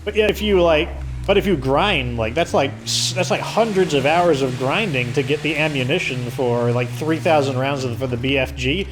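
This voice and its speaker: Hoarse male gamer, casual rambling commentary, game audio clearly audible behind. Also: fairly high pitch, moderate pace, background noise. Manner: rambling, filler-heavy explaining. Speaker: let's play host.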